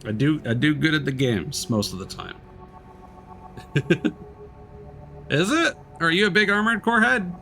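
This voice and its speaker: A man's voice: a little high, lively intonation, unhurried, gravelly, long pauses, sharp tone, faint music behind. A man livestreaming a game, chatting casually in an easygoing, rambling, hesitant way. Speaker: live streamer. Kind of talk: casual game stream chat.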